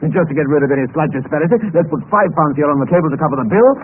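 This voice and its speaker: Male actor as English gentleman, British accent, amused, secretive, highly animated pitch, tinny 1950s radio sound. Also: rapid-fire, close to an everyday voice. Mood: smoothly persuasive. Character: suave adventurous English gentleman, amused, secretive, telling his tale. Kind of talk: 1950s radio drama acting and narration. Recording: scratchy muffled 1950s radio recording, loud hiss.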